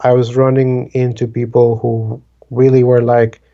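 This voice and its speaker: A man's voice: medium pitch, completely flat delivery, unhurried, highs cut off. A man in his twenties from the Dominican Republic, chatting casually and rambling with many fillers like "like" and "um". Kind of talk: casual conversation.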